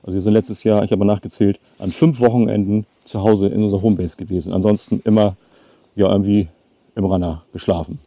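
Male travel vlogger, late 50s, casual delivery, very dark soft-edged tone, dry outdoor sound. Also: on the low side, fairly even intonation, fast talker, no room sound. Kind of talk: casual chat to camera. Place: outdoors by a lake.

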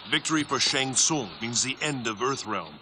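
An actor playing a stern, wise thunder god in a video game, measured, formal and grave. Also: male voice, medium pitch, natural voice. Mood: stern reproach. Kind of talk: dramatic video game voice acting. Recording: clear background noise behind voice.

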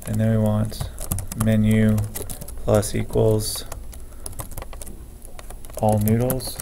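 Man narrating a coding screencast: flat, gravelly, unhurried, light background hiss. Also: on the low side. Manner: slow, methodical, thinking aloud while typing. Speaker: programming teacher. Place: at a computer, keyboard typing heard.

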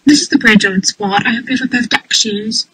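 A woman's voice: a little high, brisk, fairly bright tone, breathy edge, dry recording, poor audio. A woman voicing a cheery, eccentric male inventor creature in an amateur cartoon dub, speaking in a genteel, airy, old-chap manner. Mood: airy, chummy.

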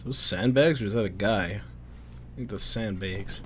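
A man's voice: on the low side, measured pace. Sweary man commentating over noisy game audio. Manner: frustrated, sweary muttering. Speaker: gamer playing a shooter. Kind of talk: casual gameplay commentary. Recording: game gunfire and ambience behind. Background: war game sounds, gunfire.

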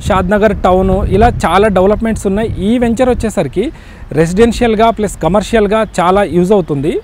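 A middle-aged man with a Telugu accent giving a sales pitch to camera as a company chairman, recorded outdoors. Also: fast, a little mellow. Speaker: company chairman promoting his venture. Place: outdoors on an open plot site by a highway. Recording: noisy outdoor location sound.

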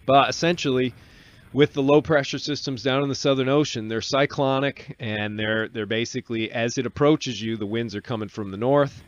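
A man in his forties giving a relaxed, casual voice-over on a sailing clip from a small cabin studio, talking into a desk mic. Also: mid-range voice, moderate pace, highs cut off. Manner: relaxed, explanatory, with restarts. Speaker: solo sailor vlogger. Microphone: desk microphone on boom arm.

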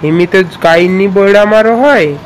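A man voicing an excitable cartoon boy in a village comedy, urgently repeating exclaimed warnings. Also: high-pitched, a little slow, slight background noise. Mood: insistent.

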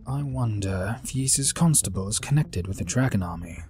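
A man's voice: on the low side, animated, moderate pace, over music, natural voice. A man playing a wary, questioning young farm-raised adventurer in a fantasy audio drama. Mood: worried. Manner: doubtful, questioning.

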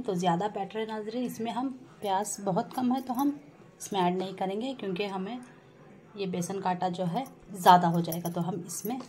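Female home-cooking vlogger, unhurried and homely, speaking to viewers over a sizzling pan. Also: mid-range voice, a little hiss or hum. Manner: addresses viewers often as nazreen, unhurried and homely. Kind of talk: casual step-by-step cooking narration. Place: home kitchen beside frying pan. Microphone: phone camera over the stove, hands only. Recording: home kitchen with loud frying sizzle. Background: oil sizzling in the pan.

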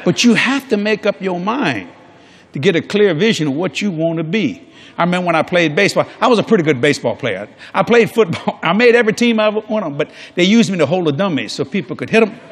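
A man's voice: high voice, expressive, quick pace, husky. An older man preaching a sermon on a large church stage before a congregation, folksy and jokey, acting out quoted people in different voices.

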